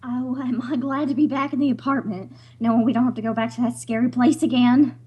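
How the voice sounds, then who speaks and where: High-voiced, jittery young wife. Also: female voice, fairly even intonation, fairly quick, a little mellow, slightly rough. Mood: relieved. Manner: anxious, pleading, wry. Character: nervous, easily spooked young wife. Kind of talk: old-time radio comedy acting.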